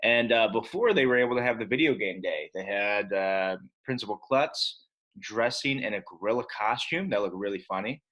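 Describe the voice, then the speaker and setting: A man's voice: on the low side, lively intonation, moderate pace, fairly bright tone. A man teaching young children in a casual read-aloud style, chatty and speaking directly to his pupils. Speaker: elementary school teacher.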